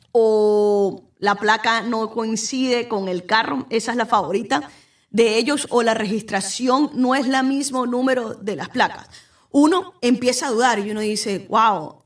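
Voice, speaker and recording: A young adult woman lawyer with a Venezuelan accent, hosting a podcast and explaining legal matters directly to the listener. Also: on the high side. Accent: Venezuelan Spanish. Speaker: immigration lawyer, podcast host. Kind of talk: informative solo talk. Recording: voice somewhat far from the mic.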